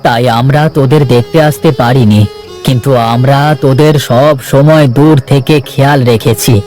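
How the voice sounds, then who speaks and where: A man voicing an assertive, insistent estranged father from a wealthy family in an animated moral story. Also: medium pitch, moderate pace, soft background music, lightly acted. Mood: pleading, explaining.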